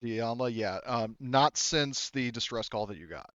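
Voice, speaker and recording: Male game master, clean smooth voice, halting think-aloud narration, dry slightly dull audio. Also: medium pitch, fairly bright tone, dry recording. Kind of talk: narrating a tabletop game session.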